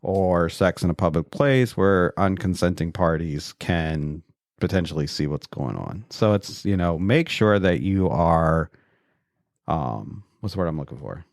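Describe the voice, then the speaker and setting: A man's voice: low voice, measured pace, gravelly, dry recording, studio quality. A man hosting a podcast in casual chat, speaking frankly and rambling loosely. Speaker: podcast host.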